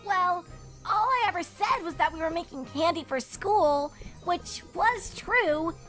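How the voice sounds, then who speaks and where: A woman's voice: extremely high pitch, lively intonation, a little slow, a bit bright, soft background music, exaggerated voice. A woman playing a young, sheepish, pleading cartoon pony filly in a fan fiction audio drama, speaking earnestly and expressively. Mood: sheepish, remorseful.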